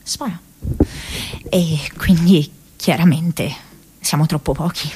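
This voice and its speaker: An earnest, troubled young woman activist speaks her diary as intimate radio theatre. Her voice sits a little low for a woman. She halts and searches for words as she goes. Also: fairly even intonation, dark tone, slightly rough, full character voice, low-quality recording. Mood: grave, weary. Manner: hesitant, halting, searching for words.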